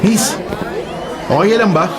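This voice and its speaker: A man's voice: high voice, lively intonation, takes it slowly. A man playing a kind, polite young college freshman in a Filipino radio drama. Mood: concerned. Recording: loud music and crowd sound behind.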